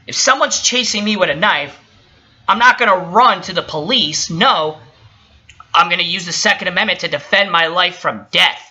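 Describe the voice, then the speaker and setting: The voice is very bright and forceful, at a middle pitch, with a dull lo-fi recording. The speaker gives off-the-cuff commentary, indignant and emphatic, with profanity thrown in. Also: moderate pace. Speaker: commentator reacting to a recorded call. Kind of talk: impromptu opinionated commentary.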